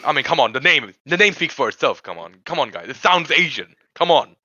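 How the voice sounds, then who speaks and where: A man's voice: mid-range voice, sing-song, brisk, pushed and bright, no room sound. A teenage boy hosting a casual podcast chat, rambling with lots of restarts and filler words. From Korean family, grew up in Sri Lanka. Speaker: podcast host. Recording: dull lo-fi recording.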